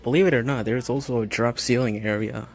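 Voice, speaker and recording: A man giving casual, halting vlog commentary like an absorbed hobbyist as he walks around a large store. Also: medium pitch, steady tone, gravelly. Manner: halting, absorbed enthusiast's narration. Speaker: amateur vlogger. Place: large home improvement store, indoors. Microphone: handheld phone while walking. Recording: store ambience, noticeable background noise.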